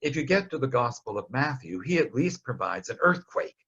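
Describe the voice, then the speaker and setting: A man's voice: mid-range voice, sing-song, fairly quick, a bit bright, husky, dull audio. A man giving an informal, explanatory Bible lesson in a conversational, storytelling way with some wry asides. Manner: conversational, wryly storytelling, acts out short quotes. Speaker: Bible study teacher.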